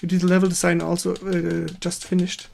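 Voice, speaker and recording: Casting: female stream host, native German with German accent, creaky voice, chatty, giggly, hesitant in English. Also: on the low side, monotone, darkish tone. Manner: halting, searching for English words, giggly. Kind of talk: casual livestream chat and interview.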